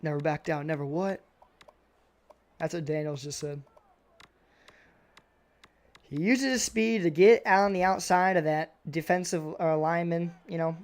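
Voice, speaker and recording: A young man doing play-by-play commentary on a video game football match, excitable and a little raspy, his voice jumping on big plays. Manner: excitable, voice jumps high and loud on big plays.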